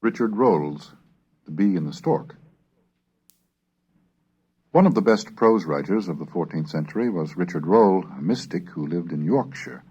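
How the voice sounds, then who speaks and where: A man with a husky voice reads scholarly texts aloud in a deliberate, declamatory way. His pitch is on the low side and moves with great animation. The sound is that of a dull 1970s cassette. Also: lively intonation, darkish tone. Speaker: reader and lecturer of a recorded language course. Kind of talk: scripted narration and dramatic reading of Middle English texts. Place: recorded narration on cassette.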